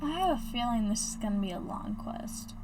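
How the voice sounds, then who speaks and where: A woman's voice: on the high side, expressive, fairly quick, background noise. A woman giving casual, hesitant commentary as she plays through a game and reads some dialogue aloud. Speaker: gaming video creator.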